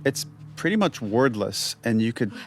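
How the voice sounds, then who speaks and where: A man's voice: medium pitch, very animated, moderate pace, soft background music. A man giving casual director's commentary on game scenes, talking informally through what he sees.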